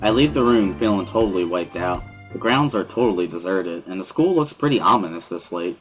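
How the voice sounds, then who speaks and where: Low-voiced man narrating over music. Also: expressive, a little fast. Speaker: amateur reader and narrator of a series. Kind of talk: first-person dramatic reading of a visual novel. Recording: prominent background music under voice. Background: instrumental music.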